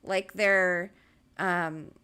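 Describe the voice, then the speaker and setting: A woman's voice: lowish voice, fairly even intonation, drawn-out words, sharp tone, smooth. A woman co-hosting a podcast in casual chat, asking pointed follow-up questions. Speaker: podcast co-host.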